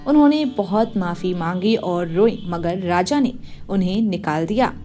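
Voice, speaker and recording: A woman's voice: on the low side, animated, unhurried, warm tone. Woman narrating a moral story, music behind.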